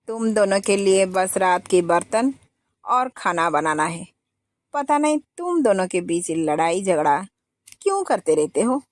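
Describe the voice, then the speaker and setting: A woman's voice: fairly low pitch, a little mellow, a little hiss or hum. A woman voicing a family member who lectures the two wives about their duties.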